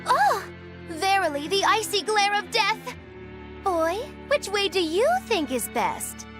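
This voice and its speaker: A woman's voice: extremely high pitch, sing-song, slow pace, over music, exaggerated voice. A woman playing a flippant, theatrical young witch and fortune teller in a video game, speaking in teasing, grandiose, old-fashioned phrases. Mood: theatrical, singsong.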